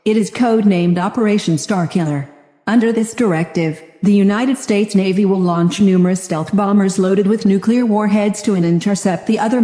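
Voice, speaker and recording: A formal female official , voiced by a synthetic-sounding woman, gives a grave scripted briefing in an audio drama. Her tone is mellow and measured, ringing with long reverb in an echoey room. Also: mid-range voice, close to an everyday voice.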